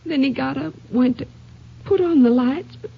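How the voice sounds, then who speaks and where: Creaky, weak wounded woman. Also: high voice, normal speed. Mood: halting, insistent. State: weak, halting, tearful. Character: weak, bitter wounded aunt in hospital, woman. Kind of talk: 1950s radio drama acting. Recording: dull vintage 1950s radio recording.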